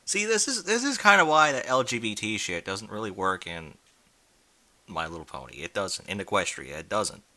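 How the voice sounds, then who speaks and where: A man's voice: on the low side, big pitch swings, moderate pace, sharp tone. A man reading fan fiction aloud in an informal, blunt way, with incredulous asides in casual speech. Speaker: fan fiction reader and commentator.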